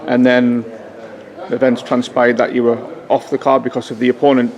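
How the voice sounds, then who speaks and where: A man interviewing a boxer with brisk, friendly questions. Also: mid-range voice, little pitch movement, darkish tone, muffled recording. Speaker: boxing interviewer.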